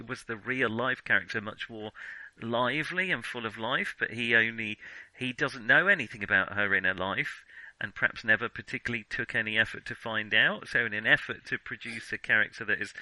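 A man's voice: mid-range voice, sharp tone, no room sound, poor audio. A man talking through film criticism off the cuff, reflective and hesitant, often qualifying what he says. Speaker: film discussion podcast host. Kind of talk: unscripted film criticism discussion.

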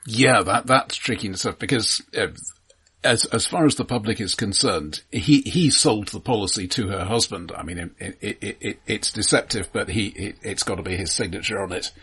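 Male podcast co-host, husky and a bit low, halting, casual. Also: bright, forceful tone. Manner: halting, repeats words. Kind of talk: casual film discussion.

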